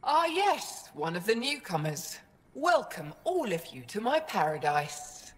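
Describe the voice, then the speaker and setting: An actress playing the self-assured, commanding boss of an outlaw haven in a video game, with a theatrical delivery. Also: female voice, mid-range voice, sing-song, measured pace. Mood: commanding, cool. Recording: long reverb of a large space.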